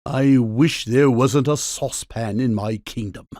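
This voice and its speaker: A man's voice: mid-range voice, measured pace, a little mellow, no echo, studio quality, natural voice. An actor playing a pompous king who is a living kitchen cleaver, speaking in declamatory rebukes in a full-cast audiobook. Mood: weary.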